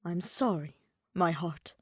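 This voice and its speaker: A woman's voice: fairly low pitch, slow, dry recording, clean studio sound. A woman voicing a doting but menacing royal husband in a fantasy story, soothing and authoritative. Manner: soothing, pretentious.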